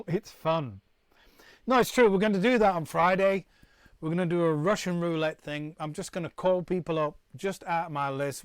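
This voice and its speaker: A man's voice: on the high side, no echo, studio quality. A middle-aged man from the UK, chatting casually to viewers on a live stream, rambling and restarting often. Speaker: musician hosting a live stream.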